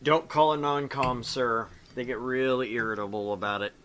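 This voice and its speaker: A man's voice: medium pitch, fairly even intonation, moderate pace, crowd noise. A man hosting a game stream, reading a visual novel aloud and chatting casually in a salty, joking way. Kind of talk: casual chat mixed with game narration.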